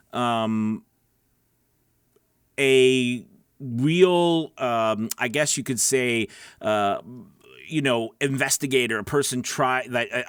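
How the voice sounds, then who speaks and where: A man's voice: medium pitch, a bit bright, dry recording, clean studio sound. A man hosting an instructional vlog, talking to viewers in a conversational, emphatic way and often checking in with them. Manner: conversational, emphatic, checks in with "right?" and "you know". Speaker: host of a voice-over advice show.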